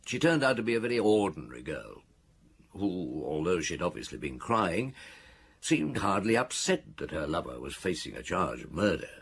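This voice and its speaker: Radio drama chief inspector, middle-aged: low, husky, world-weary, measured delivery with varied intonation. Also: male voice, very animated, fairly bright tone, heavily acted. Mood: reflective recounting. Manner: dry, measured, probing. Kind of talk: radio drama acting, dialogue and reminiscence.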